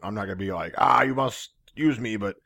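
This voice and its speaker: A man's voice: fairly low pitch, fairly quick, creaky, sharp tone, dry recording, studio quality. A man co-hosting a casual podcast, dropping wry, teasing remarks. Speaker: podcast co-host. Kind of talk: casual group chat.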